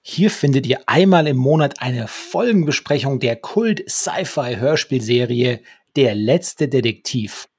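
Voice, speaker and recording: Man, husky mid-pitched voice, conversational podcast host, wordy mock-formal phrasing, dry close recording. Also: expressive, measured pace, hardly any pauses, no echo. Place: small home room with bookshelves. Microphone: close desktop microphone with headphones.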